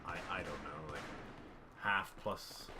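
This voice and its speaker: A man's voice: a bass voice, takes it slowly, heavy background noise. A young man giving rambling, self-deprecating voice-over commentary on his gameplay while fighting off a cough. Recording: game gunfire clearly heard behind. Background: game gunfire and ambience.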